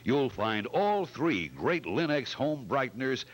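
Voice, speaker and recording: Animated male old-time radio announcer. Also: medium pitch, takes it slowly, rough recording. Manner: dramatic, emphatic salesmanship.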